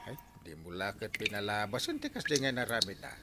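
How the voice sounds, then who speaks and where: A man's voice: fairly low pitch, wildly swooping pitch, brisk, background noise. A man playing an exclaiming village peacemaker in an Ilocano comedy drama. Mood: alarmed, mediating. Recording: loud music behind dialogue.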